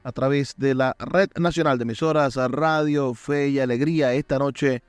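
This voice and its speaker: A man's voice: mid-range voice, fairly even intonation, moderate pace, clean tone, no echo. A man with a Venezuelan accent hosting a radio program, addressing listeners directly as he presents. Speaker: radio host. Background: music bed.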